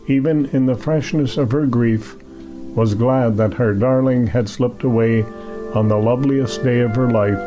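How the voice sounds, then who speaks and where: A man narrating an audio drama as a storybook tale, solemn and measured in his delivery. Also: medium pitch, poor audio. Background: music.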